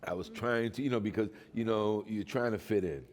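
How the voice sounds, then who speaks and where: Brief: middle-aged man from Cuba, deep low voice, lively storytelling panelist with a Cuban accent. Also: a little fast. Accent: Boston Cuban. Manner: animated storyteller, playful. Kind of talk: casual panel chat.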